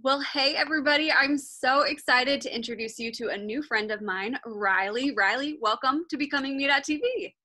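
A woman's voice: sits high, normal speed, hardly any pauses. A woman hosting a faith podcast, gushing with praise and chatting casually. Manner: gushing, warm-hearted praise, filler "like". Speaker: podcast host.

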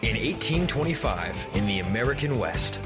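Female promo narrator: very deep, dramatic scripted read, loud music bed. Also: big pitch swings, moderate pace.

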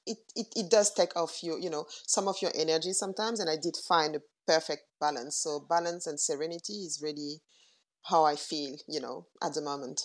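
A woman in her forties with a French accent, talking through her answers as a guest in a casual interview, often pausing on fillers and restarting. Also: sits low, steady tone. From France. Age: 40s. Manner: thoughtful, with frequent fillers and restarts. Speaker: guest entrepreneur.

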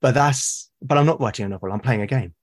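A man with a British accent, a podcast guest talking casually and at length, often pausing to rephrase his thoughts. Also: medium pitch. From Britain. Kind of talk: casual conversation about solo roleplaying.

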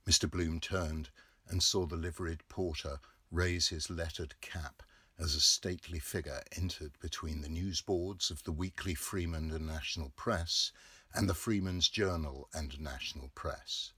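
A man in his fifties narrating a literary novel with measured, deliberate phrasing. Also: low voice, steady tone, fairly bright tone, breathy edge, no room sound. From England. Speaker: audiobook reader.

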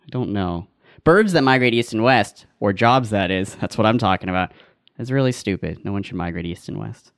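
Deadpan joking man, smooth voice. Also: on the low side, a little fast, no echo, studio quality. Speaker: podcast co-host. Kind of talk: casual chat.

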